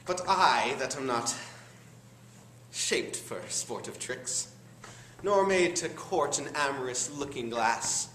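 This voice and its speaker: Give the stage a bitter, deformed duke plotting villainy, acted by a teenage young man in Shakespearean verse. The delivery is theatrical and deliberate, with wide swings of pitch and a sharp, forceful edge. It sounds recorded in an echoey classroom. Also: lively intonation. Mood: bitter, anguished. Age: teens. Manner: theatrical, deliberate, dramatic pauses. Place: high school classroom. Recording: roomy classroom recording, faint background noise.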